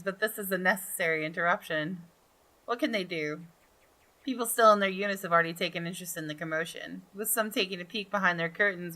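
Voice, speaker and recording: A woman's voice: fairly low pitch, bright, forceful tone. A woman hosting a gaming video, reading visual novel narration expressively with casual commentary.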